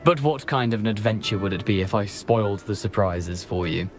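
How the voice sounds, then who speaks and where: An actor playing a cheery, roguish decapitated ghost in a video game, with a British accent and a wry, theatrical, chatty manner. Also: male voice, on the low side, brisk, a little hiss or hum, close to an everyday voice. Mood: playful. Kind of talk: video game character acting.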